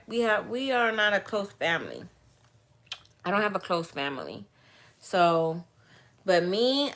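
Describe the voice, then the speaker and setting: A woman, chatting casually and candidly with viewers, rambling and turning soft and heartfelt as she talks. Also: mid-range voice. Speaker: vlogger answering viewer questions. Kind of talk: casual livestream chat.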